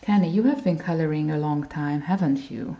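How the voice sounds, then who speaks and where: A woman's voice: deep voice, brisk, warm tone. A woman from Hungary hosting a coloring livestream, chatting casually with viewers and reading their comments aloud. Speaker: livestream host. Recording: dull lo-fi stream audio.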